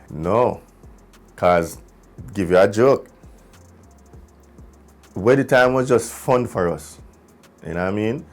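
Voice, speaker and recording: A Jamaican man with a deep, gravelly voice tells stories casually in an interview. He slips into patois and speaks with animation, over faint background music. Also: a little mellow. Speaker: musician, singer in a group.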